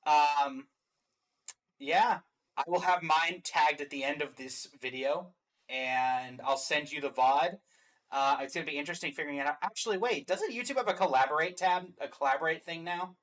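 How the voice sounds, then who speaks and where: A man's voice: on the high side, normal speed, fairly bright tone. A man hosting a casual reaction and ranking chat about video game trailers. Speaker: video host.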